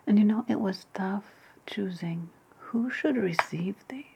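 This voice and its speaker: A woman playing a wry, spiteful ancient Roman witch in a whispered ASMR roleplay, breathy and sweetly sarcastic. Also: medium pitch, a little mellow, full character voice. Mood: mock gracious. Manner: slow, deliberate, sweetly sarcastic.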